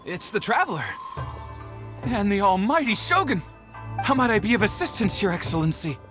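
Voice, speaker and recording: A flustered servant from a video game, eager to please and deeply deferential. The pitch jumps about with hesitations and exclamations, over clearly audible music. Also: female voice, big pitch swings, moderate pace, a bit bright. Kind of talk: video game character dialogue.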